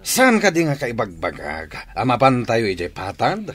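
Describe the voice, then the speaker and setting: A man's voice: mid-range voice, wildly swooping pitch, normal speed. A man playing a scheming gang boss in a radio drama, commanding and knowing in manner. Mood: scheming, bossy. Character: scheming male gang boss giving orders.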